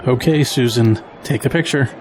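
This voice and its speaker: A man acts as a wry middle-aged father in an audio drama. He speaks at an ordinary male pitch, with faint noise behind him. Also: normal speed, some chatter behind, lightly acted.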